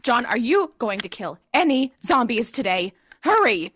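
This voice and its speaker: A woman playing a bossy, sharp, impatient rescue team member in a comic audio play, commanding and exasperated. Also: fairly high pitch, very animated, a little slow, slight background noise. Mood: exasperated, urgent.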